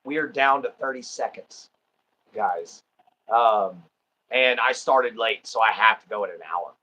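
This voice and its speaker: A man's voice: lowish voice, unhurried, sharp tone, rough recording. A man with a Texan accent from Southeast Texas, hosting a livestream in easygoing, rambling casual chat full of ums and uhs. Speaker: livestream host.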